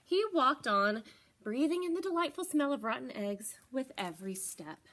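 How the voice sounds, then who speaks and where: A woman's voice: high-pitched, moderate pace. A woman in her thirties reading a children's storybook aloud expressively as a library storytime presenter. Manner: expressive, addressing young children. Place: small library corner, indoors.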